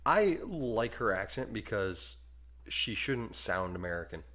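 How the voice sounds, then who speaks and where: A man's voice: on the low side, sing-song, a little slow, fairly bright tone. A man co-hosting a casual podcast, laid back and rambling, often chuckling between his points. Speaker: podcast co-host. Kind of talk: casual movie review chat.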